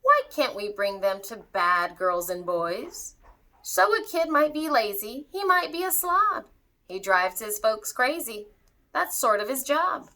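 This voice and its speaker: A blustering old fellow playing stand-in Santa, as acted out by a woman reader, high and bright. The delivery swoops up and down, full of wisecracks and grumbling storybook bluster. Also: expressive, unhurried, heavily acted. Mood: enthusiastic. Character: grumbling bluffing old man reluctantly playing substitute Santa.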